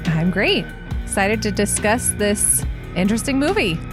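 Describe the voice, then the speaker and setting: A woman's voice: mid-range voice, sing-song, moderate pace, over music. A woman co-hosting a horror movie podcast, chatting casually, often laughing and a little hesitant. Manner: giggly, hesitant. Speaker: podcast co-host.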